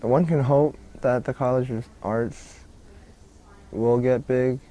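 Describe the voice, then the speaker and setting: A man answering an interview in a measured way, with fillers. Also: mid-range voice, fairly even intonation, mellow.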